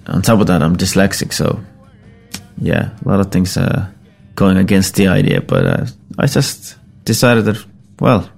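A middle-aged Finnish-born man with a Finnish accent, a rock musician talking casually in English, his second language, in a halting, rambling, self-deprecating way. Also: low-pitched, big pitch swings, gravelly, darkish tone, husky, soft background music. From Finland. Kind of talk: casual spoken account.

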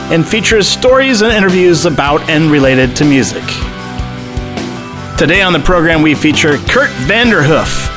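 Male podcast host, friendly and loose, slightly raised pitch, guitar music under. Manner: friendly, loose, light laughs. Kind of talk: scripted podcast intro and casual interview.

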